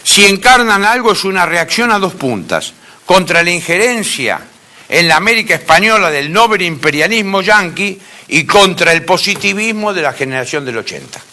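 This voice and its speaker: An Argentine man delivers an informal philosophy lecture with real emphasis. His voice is bright and forceful, and his pitch moves in lively sweeps. He often repeats a phrase to drive it home. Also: normal speed.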